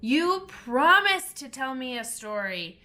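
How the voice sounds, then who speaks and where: A woman's voice: sits high, sing-song, slow, bright, forceful tone, voice pushed up, full character voice. A woman voicing a curious, polite storybook girl with an earnest, questioning manner.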